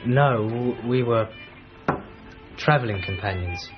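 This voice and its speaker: An actor playing a reserved, awkward former travelling companion, hesitant and clipped, in a radio drama. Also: male voice, medium pitch, slow, natural voice. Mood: awkward, guarded. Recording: dull sound with faint music behind.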